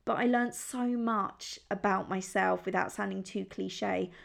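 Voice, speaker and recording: A woman's voice: medium pitch, fairly even intonation, measured pace, vocal fry. A young woman from England hosting her own podcast, talking in a chatty, upbeat, confiding way. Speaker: podcast host. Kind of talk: solo podcast chat.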